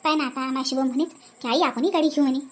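A woman's voice: high-pitched, rapid-fire, pitched below the performer's own voice, close to an everyday voice. A woman voicing a jealous, boastful middle-aged village aunt in a comic cartoon, with a Varhadi Marathi accent and a nosy, scornful manner. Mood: envious, wishful. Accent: Varhadi Marathi from Vidarbha. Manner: nosy, scornful, muttering to herself.

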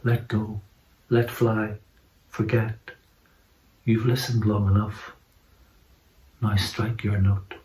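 A middle-aged man reciting poetry in a measured, solemn manner. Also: lowish voice, little pitch movement, warm tone, a little hiss or hum. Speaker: poetry reader. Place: indoor room. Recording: roomy, echoing indoor sound.

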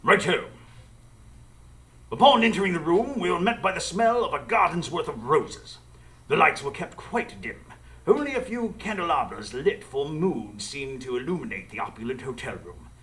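A man playing an earnest, loyal gentleman companion who narrates a live radio drama in florid, expressive prose. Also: on the high side, normal speed, low-quality recording. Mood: earnest, dramatic. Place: live performance space before an audience.

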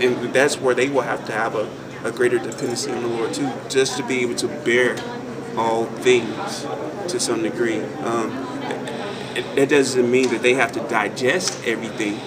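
Casting: man, twenties, thoughtful and halting in casual discussion, mid pitch, off-mic in a noisy crowded lounge. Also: level tone. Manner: halting, thoughtful, repeats phrases. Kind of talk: casual group discussion of faith. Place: large open indoor lounge. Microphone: camera mic a few feet away. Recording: distant mic amid loud chatter.

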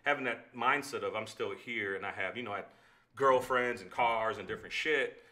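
A man in his forties, Spanish his first language, telling profane, animated stories as a podcast guest in a small living room on a standing studio mic. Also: lowish voice. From United States. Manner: fast, profane, animated storytelling. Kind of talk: casual storytelling chat.

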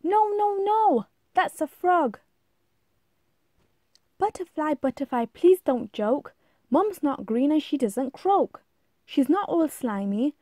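A woman's voice: sits high, sing-song, dark tone, no room sound, studio quality, exaggerated voice. A woman voicing a fretful, insistent young monkey in a rhyming storybook, plaintive and emphatic. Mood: exasperated. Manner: plaintive, emphatic, drawn-out protests. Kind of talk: rhyming storybook read-aloud.

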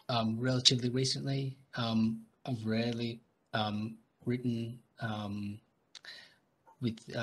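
A man's voice: medium pitch, sharp tone, breathy edge, low-quality recording. A young adult man speaking on a video call with a headset, hesitant, with many ums. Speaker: panelist. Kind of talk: video call panel discussion.

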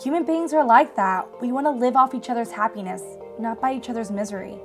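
A woman's voice: fairly high pitch, fast, soft background music. A woman's voice delivering an earnest speech.

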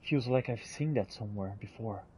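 A woman's voice: a bass voice, a little fast, mellow, slight background noise, poor audio. A woman giving reactive video game commentary, exclaiming and pleading with the game as she plays. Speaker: video game streamer playing a roleplay.

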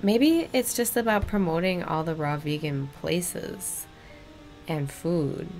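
Gravelly young woman co-hosting a podcast. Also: on the low side, slow, faint music behind. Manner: excitable, giggly, laid-back. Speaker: podcast co-host. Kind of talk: casual chat. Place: home room with green curtain.

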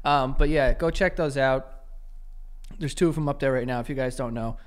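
A man's voice: mid-range voice, moderate pace. A young man co-hosting a podcast, telling stories and teasing his co-host in casual chat, into a desk mic in a small studio. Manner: storytelling, teasing. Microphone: desk mic on stand.

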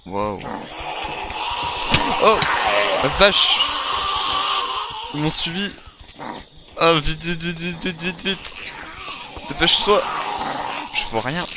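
Slow-paced man narrating gameplay over heavy noise. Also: fairly high pitch, lively intonation. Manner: muttering to himself in short, repeated phrases. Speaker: gaming video creator playing a survivor. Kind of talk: improvised first-person roleplay gameplay commentary. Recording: very noisy home recording, game sounds behind.

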